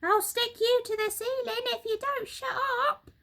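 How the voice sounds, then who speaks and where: A woman voicing a frenzied, bossy father monkey from a children's storybook, shouting urgent orders excitedly. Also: extremely high pitch, moderate pace, pitched above the performer's own voice. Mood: impatient shouting.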